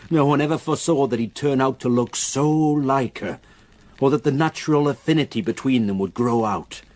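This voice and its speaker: An actor playing a grave, reluctant local countryman in a radio drama, with a solemn, halting way of telling a dark story. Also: medium pitch, dry recording, natural voice. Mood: sombre recounting.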